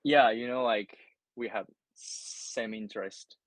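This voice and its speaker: A man from Japan with a Japanese accent, chatting casually as an English learner, halting and repeating words as he searches for phrasing. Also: medium pitch, no room sound, rough recording. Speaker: English learner in an online lesson. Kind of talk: casual conversation practice in English.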